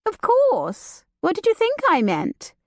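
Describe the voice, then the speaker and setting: A woman's voice: very high voice, huge pitch swings, slow pace, no room sound, studio quality, voice pushed up, full character voice. A woman voicing a flighty, romantic young noblewoman with a lilting, gossipy manner. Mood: playful.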